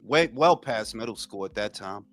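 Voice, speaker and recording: Animated New York man telling stories. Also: on the low side, normal speed, no echo, faint music behind. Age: 40s. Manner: animated, profane storytelling. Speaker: podcast co-host. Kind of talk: casual chat.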